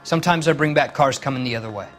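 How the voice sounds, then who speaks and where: An actor playing a surly, cornered small-time car thief with an American accent in a crime drama game, slangy and defensive. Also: male voice, mid-range voice, very fast, fairly bright tone, soft background music, natural voice.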